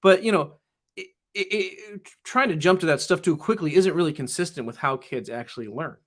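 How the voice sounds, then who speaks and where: A man's voice: fairly high pitch, a little fast, a bit bright. A man who teaches in public schools, talking earnestly in an interview and often restarting his sentences with "you know". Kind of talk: casual interview talk.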